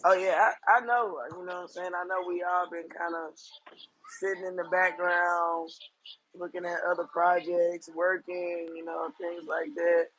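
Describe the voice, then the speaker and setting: A hoarse man calling into a casual live audio chat, tired and easygoing. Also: high voice, level tone, normal speed, a bit bright, a little hiss or hum, low-quality recording. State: hoarse from being sick. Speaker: caller.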